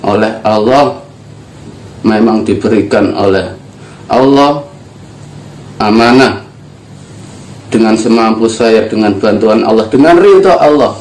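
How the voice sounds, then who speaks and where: A man's voice: on the low side, slow pace, pauses often, a little mellow. An older man from East Java, giving an earnest, emphatic religious teaching talk. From East Java, Indonesia. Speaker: spiritual teacher. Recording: echoey room.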